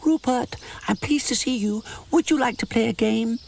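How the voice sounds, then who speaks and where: Man doing squeaky excited little mouse, friendly, storybook. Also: normal speed, gravelly, slight background noise. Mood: eager.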